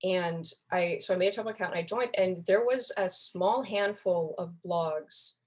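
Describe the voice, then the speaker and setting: A young woman with a voice a little on the low side talks as a guest on a podcast. She explains things informally, in the manner of a relaxed group chat. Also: normal speed. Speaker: podcast guest, online community moderator.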